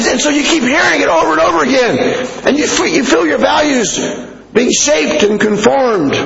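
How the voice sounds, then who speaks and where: A middle-aged American man preaching a sermon in an animated, conversational way. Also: very high voice, gravelly. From United States. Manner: animated, conversational, acting out asides to listeners. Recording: echoey hall with long reverb, muffled.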